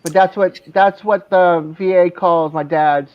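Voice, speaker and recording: A man's voice: sits high, fairly even intonation, a little slow. A man with a faint Boston edge to his speech, chatting as a guest. He rambles, restarts his sentences and chuckles to himself. His audio comes through dull and muffled. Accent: slight Boston. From born near Miami, raised mostly in New England. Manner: rambling, self-correcting, chuckles. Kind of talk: casual chat.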